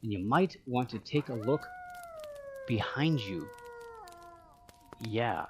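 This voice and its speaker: A man with a middle-pitched voice hosts a radio show. He talks in a deliberate way, setting out his scripted lines phrase by phrase. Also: animated, background noise. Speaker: paranormal news show host.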